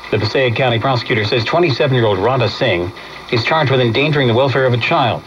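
A man's voice: medium pitch, fast talker, a bit bright, lo-fi sound, slight background noise. A man reading a radio news bulletin, heard through a vintage radio speaker. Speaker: news reader.

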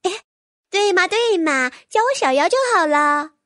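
Woman voicing a high, lively girlish sprite. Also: normal speed, dry recording, studio quality, full character voice. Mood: delighted. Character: young female sprite, lively, easily exasperated. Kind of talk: audiobook character voice.

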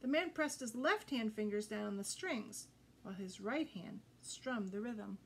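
High-voiced middle-aged woman reading a storybook. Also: lively intonation, measured pace, husky. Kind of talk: expressive children's storybook read-aloud. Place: indoor room with green curtain backdrop.

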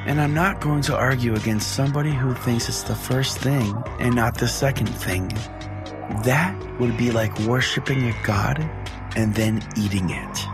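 A man's voice: mid-range voice, moderate pace, over music. A man hosting a comedy show as a mock-serious, rambling pastor. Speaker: host playing a pastor of a comedy call-in show. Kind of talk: comedy podcast monologue and banter.

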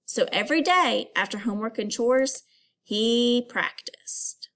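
Higher-pitched woman teacher reading to children. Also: unhurried. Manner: expressive storytelling, instructive, addresses pupils with questions. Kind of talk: read-aloud lesson for children.

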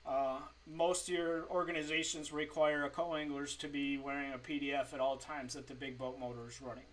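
A man in his forties giving informal tips to camera in a halting, improvised way from a home room. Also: mid-range voice, little pitch movement, distant mic, low-quality recording. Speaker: fishing tips presenter. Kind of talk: informal tutorial talk to camera. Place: small home streaming room, indoors.

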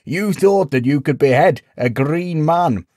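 Man as a loud, high-pitched tavern joker. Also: expressive, a little slow, no room sound, studio quality. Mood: uproarious, teasing. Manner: loud, teasing, sarcastic. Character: boisterous mocking village man, hearty tavern joker. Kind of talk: storybook character voice.

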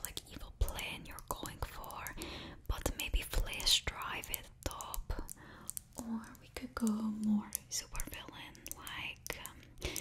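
A young woman voicing a fussy female stylist to a super villain in a put-on Russian accent, whispering close to the mic in a coaxing, sales-like way and dropping articles. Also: on the high side. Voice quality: broken grammar, drops articles. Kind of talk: ASMR roleplay whispering. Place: small indoor studio before a backdrop. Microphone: close sensitive mic, face near camera.

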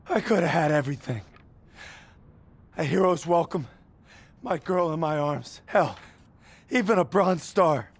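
A man's voice: high-pitched, husky, full character voice. A man playing a stubborn, earnest young American soldier in a war game, speaking defiantly. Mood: impassioned. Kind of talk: cinematic war game acting.

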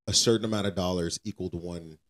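A man's voice: low-pitched, steady tone, fast. An American man co-hosting a podcast, speaking casually with slang and repeating phrases for emphasis. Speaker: podcast co-host. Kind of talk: casual conversational podcast talk.

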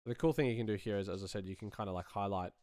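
A man's voice: lowish voice, very fast, darkish tone, no room sound. An Australian man in his thirties talking casually and offhandedly as he works on a live stream, close to a desk microphone. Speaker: sound designer, live streamer. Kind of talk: casual commentary while working, answering chat. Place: small indoor room.

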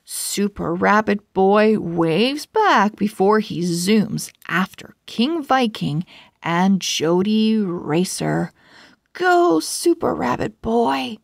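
Slow-paced woman reading a children's story. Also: medium pitch, animated, creaky, no echo, clean studio sound. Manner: slow, deliberate, measured phrasing for young readers. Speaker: children's book read-aloud host. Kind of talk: read-aloud story narration for children.

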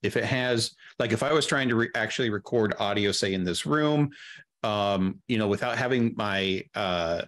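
Halting, explanatory middle-aged man. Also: on the low side, fairly bright tone. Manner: halting, thoughtful, step-by-step explaining. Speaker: guest, audio drama editor and sound designer. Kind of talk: explanatory interview talk. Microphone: close podcast mic on a video call.

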